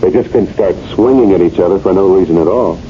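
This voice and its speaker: A man playing an earnest, dogged police lieutenant in a 1970s radio drama, with a halting but insistent manner. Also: low-pitched, natural voice. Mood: businesslike, probing. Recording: muffled, hissy old radio broadcast recording.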